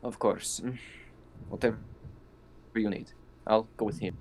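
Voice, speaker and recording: A man's voice: on the low side, fairly even intonation, measured pace, a little mellow, slight background noise. Hesitant man playing a character. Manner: hesitant, stumbling over words. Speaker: player. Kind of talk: casual tabletop play.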